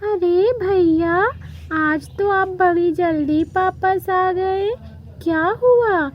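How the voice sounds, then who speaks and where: Cartoon little-sister crow , female actor: high, sweet, concerned and trusting, slow delivery, dull dry recording. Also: full character voice. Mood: cheerful, caring. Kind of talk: Hindi children's cartoon dialogue.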